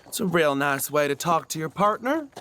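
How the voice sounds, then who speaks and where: Cocky young man, very animated delivery. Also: a little high, normal speed, background noise. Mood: complaining. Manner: talkative, wheedling, stammers when challenged. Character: chatty, cocky young New Orleans fortune seeker. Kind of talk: audio drama acting.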